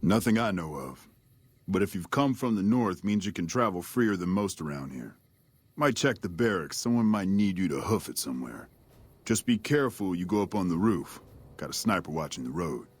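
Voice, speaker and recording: Deep-voiced, weary game soldier. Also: male voice, animated, moderate pace, breathy edge, no echo. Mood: weary, dry. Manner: terse, dry, jaded. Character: weary, cynical male soldier guarding a desert outpost. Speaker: army sergeant character. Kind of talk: video game dialogue. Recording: music behind the voice.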